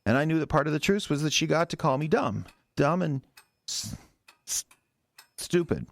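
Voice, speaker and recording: An actor in an audio drama playing a resentful, defensive husband who stammers and rambles in a halting, wounded way. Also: male voice, on the high side, expressive, vocal fry, no room sound. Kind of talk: naturalistic audio drama acting.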